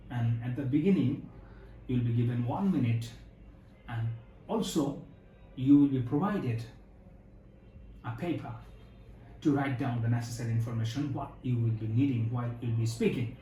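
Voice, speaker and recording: A man acting as an IELTS examiner in a mock speaking test, explaining the format in a slow, instructive way with a lot of repetition. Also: medium pitch, mellow, a little hiss or hum. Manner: slow, instructive, repeating phrases. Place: exam room indoors. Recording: echoey room, faint ambience.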